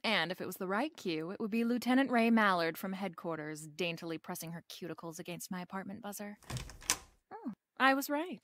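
A woman's voice: mid-range voice, expressive, a little fast, noisy background, lightly acted. A woman playing a wisecracking, flirtatious private eye, narrating a noir radio drama in a wry, playful way. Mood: wry storytelling.

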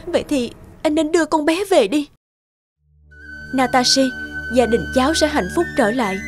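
High-voiced, caring psychologist. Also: female voice, animated, normal speed. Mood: earnest. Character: caring female child psychologist, a worried single mother. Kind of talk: cartoon dubbing. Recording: clear music behind the voice.

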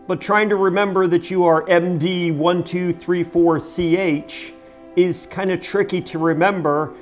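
Middle-aged American male vlogger, slightly high voice, emphatic explainer, music behind. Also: steady tone, a little slow. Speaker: boating video host. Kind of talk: explanatory talk to camera. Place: home kitchen, indoors.

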